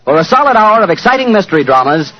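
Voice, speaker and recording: An old, muffled radio recording of an American man announcing in 1940s style. His pitch is on the high side and moves in animated swings. He delivers host lines and sponsor copy. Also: quick pace.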